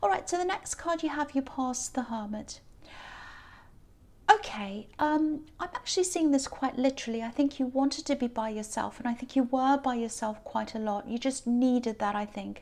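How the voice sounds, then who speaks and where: Croaky-voiced woman, high and tired. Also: moderate pace. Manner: chatty and gentle, many ums and hedges. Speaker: tarot reader. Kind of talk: casual tarot reading to camera.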